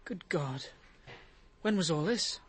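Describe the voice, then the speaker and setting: An actor playing a smooth-talking, wheedling man in a radio drama. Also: a little high, lively intonation, takes it slowly, exaggerated voice. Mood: startled.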